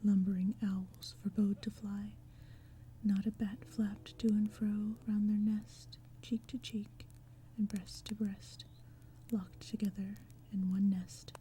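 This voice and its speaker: A woman reading poetry in a soft, breathy ASMR whisper, with a gentle, hushed storytelling manner. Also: mid-range voice, little pitch movement, slow pace. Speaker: audiobook reader. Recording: faint ambient crackling fire and forest sounds. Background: crackling fire, forest ambience.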